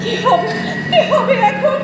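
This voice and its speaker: A very high, fearful young bride, acted by a woman, pleading and repeating herself in anxious stage-drama style. The voice is distant and very echoey, under loud music. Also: slow pace. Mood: terrified. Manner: pleading, fearful, breathless repetitions. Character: frightened orphaned young bride, pleading niece. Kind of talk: Bengali stage audio drama acting.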